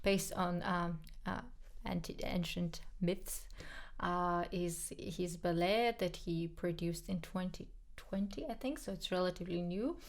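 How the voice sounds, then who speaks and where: A woman whose first language is Georgian talks through a casual podcast segment, with a low, gravelly voice. She is chatty and frank, repeats words and fills pauses with ums. The talk is loose and unscripted. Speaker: podcast co-host, pianist. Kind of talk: casual conversational chat.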